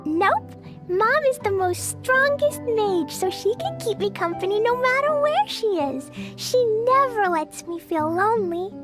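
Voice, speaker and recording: A woman's voice: very high-pitched, full character voice. A woman voicing a cheerful, playful little girl for a video game, with guileless, childish, gushing delivery. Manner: childish simple phrasing, drawn-out, gushing. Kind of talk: animated video game voice acting. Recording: music behind the voice.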